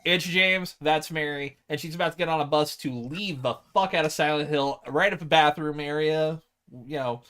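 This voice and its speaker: A man's voice: on the high side, normal speed, a bit bright, clean tone. A man in a casual group film discussion, explaining things in a rambling way full of asides. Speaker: podcast co-host reviewing films.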